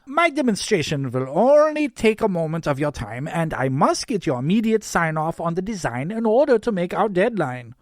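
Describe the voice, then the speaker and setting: A man's voice: high-pitched, sing-song, normal speed, hardly any pauses, dry recording, clean studio sound, voice pushed up. A man voicing a stern, humorless elf toy designer with a German accent and a formal, officious delivery in an audiobook. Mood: officious, insistent. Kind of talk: audiobook character voice.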